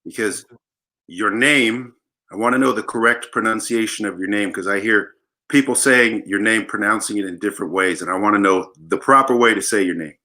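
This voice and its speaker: A man's voice: on the low side, brisk, pushed and bright. A man from Los Angeles, a guest musician, chatting casually on a livestream. He jokes playfully and tells long rambling stories. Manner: joking, playful, rambling storyteller. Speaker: guest bassist. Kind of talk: casual interview chat.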